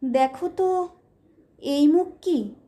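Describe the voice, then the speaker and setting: A woman's voice: high-pitched, slow, warm tone. A woman voicing a pensive, grave wife with a secret past in an audio ghost story. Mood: intent. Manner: grave, absent-minded.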